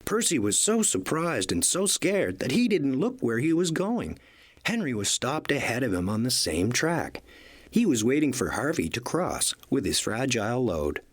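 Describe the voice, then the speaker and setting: A man narrates a children's storybook in an animated read-along style. His mid-pitched voice has a husky edge and swings widely in intonation. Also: moderate pace.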